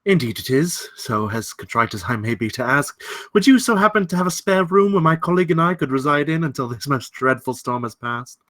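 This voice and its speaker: An actor playing a middle-aged English merchant with an English accent, florid, polite and well-spoken, in a screenplay table read. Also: male voice, fairly high pitch, animated, fairly quick, a bit bright, dry recording. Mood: genial, polite.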